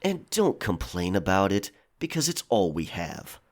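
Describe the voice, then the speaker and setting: An actor playing an angry, gruff pirate quartermaster in an audio drama, scolding and grumbling bluntly. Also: male voice, low voice, very animated, a little slow, darkish tone, full character voice. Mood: grumbling, curt. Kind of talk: full-cast audio drama acting.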